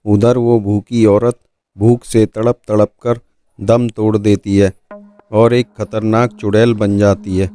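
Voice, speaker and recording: A man's voice: lowish voice, flat delivery, slow pace, warm tone, smooth, dry recording. A man reading scripted narration of a horror folk tale for a cartoon. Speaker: storyteller. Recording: music behind the voice.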